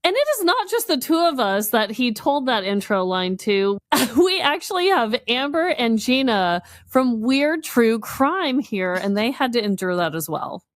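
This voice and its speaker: A woman co-hosting a podcast in casual comedic chat, with expressive, giggly reactions. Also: a little high, a little slow, few pauses, no echo, clean studio sound. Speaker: podcast co-host.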